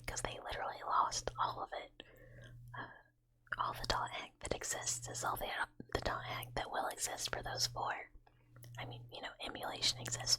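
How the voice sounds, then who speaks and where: A young woman streamer chatting casually in a soft whisper, rambling and giggling between deadpan jokes.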